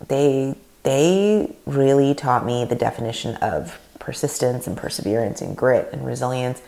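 Brief: woman podcast host, thirties, very deep voice, gravelly, earnest and rambling. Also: normal speed. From Canada. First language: Russian. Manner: earnest, animated, rambling with restarts. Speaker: podcast host and leadership coach. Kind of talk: solo podcast talk.

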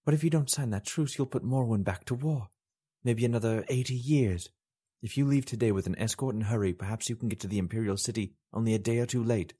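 A man's voice: mid-range voice, fast, mellow, breathy edge, voice pushed up, lightly acted. A man voicing a woman goddess, recently woken and weak, speaking in an earnest, urgent way. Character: weakened, resolute woman goddess.

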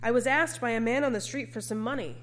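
A woman preacher delivering a scripted sermon in an earnest, measured way, often posing rhetorical questions. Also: fairly high pitch, fairly bright tone, slight background noise.

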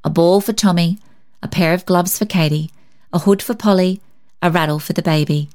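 A woman reading a story aloud as an expressive scripted narration. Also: lowish voice, moderate pace. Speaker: story reader.

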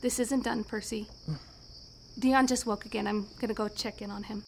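A woman's voice: medium pitch, steady tone, moderate pace, vocal fry, a little mellow, slight background noise, lightly acted. A woman playing a protective, tender but insistent telepathic wife in an audio drama. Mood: subdued.

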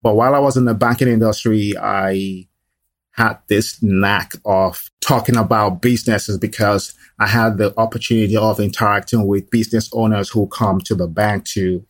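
A middle-aged man with a fairly low voice talks his story through at an easy pace, leaning on "you know" as he goes. The sound is close and dry, with no room around it. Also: no echo. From Nigeria. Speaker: guest, small business owner. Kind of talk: conversational life story.

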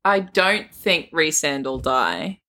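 A woman's voice: sits low, very animated, slow pace, sharp tone. A woman co-hosting a podcast in casual chat, giggly, playful and opinionated. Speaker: book podcast co-host.

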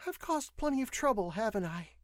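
A man acts out an anxious young woman in a storybook sci-fi tale. The voice is lifted very high, full of questioning swoops, with a rueful, hesitant manner. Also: sing-song, a little fast, dry recording, studio quality.

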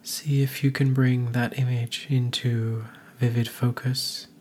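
Male meditation narrator, medium-pitched and unhurried, soothing and soft, over clearly audible ambient noise. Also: level tone. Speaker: meditation guide.

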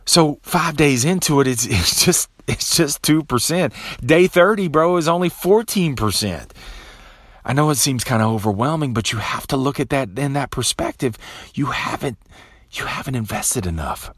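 A man in his late thirties hosting a motivational podcast, talking casually and profanely and tossing in "man" and "bro", with animated bursts and low, quiet asides. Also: moderate pace, dry recording. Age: 30s. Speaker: podcast host. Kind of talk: motivational monologue.

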